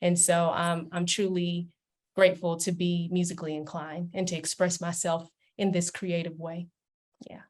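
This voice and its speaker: Brief: woman from Kenya, slightly low, easy laugh, video call guest. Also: flat delivery, normal speed, darkish tone. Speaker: singer and songwriter guest. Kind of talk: self-introduction on a panel.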